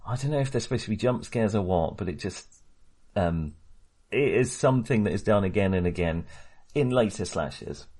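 A man's voice: lowish voice, lively intonation, moderate pace, a little mellow, breathy edge. A man co-hosting a podcast, talking casually and hesitantly as he discusses films. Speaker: film discussion podcast co-host.

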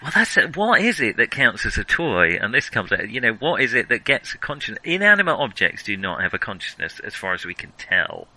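A man's voice: mid-range voice, big pitch swings, brisk, few pauses, sharp tone, poor audio. A man hosting a casual film discussion, thinking aloud and often rephrasing mid-thought. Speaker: podcast host.

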